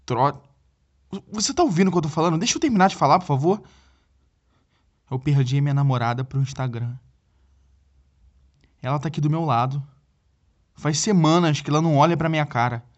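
A man's voice: a little high, a little fast, long pauses, natural voice. Needy young Brazilian boyfriend, played by a male actor, hesitant and stammering, comedic audio drama, slightly dull recording. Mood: exasperated. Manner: hesitant, stammering, repeats himself. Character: flustered, needy boyfriend pleading for help by phone. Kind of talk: comedic audio drama, one side of a phone call.

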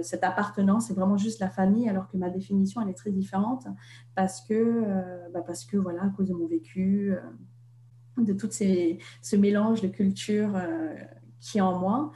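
A young woman from Vietnam speaks as an author being interviewed. Her voice is mellow and soft, and she hesitates often on fillers and restarts. The recording is roomy and echoey, with a faint hum behind her. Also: mid-range voice, steady tone, normal speed. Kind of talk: reflective interview conversation.

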